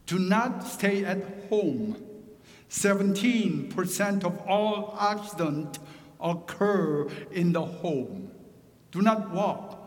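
A middle-aged man, a pastor whose first language is Korean, preaching a sermon with a Korean accent, slow and deliberate with joking asides. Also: high voice, in a large hall.

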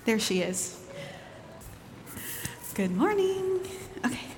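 Female church children's leader: slightly high voice, gentle, simple, informal phrasing, reverberant hall. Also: big pitch swings, takes it slowly, noisy background. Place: large indoor church.

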